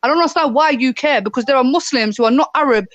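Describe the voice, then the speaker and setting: A woman's voice: high-pitched, fast talker, a little hiss or hum. A woman debating heatedly on a live online call, insistent and talking over others. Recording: muffled dry call audio.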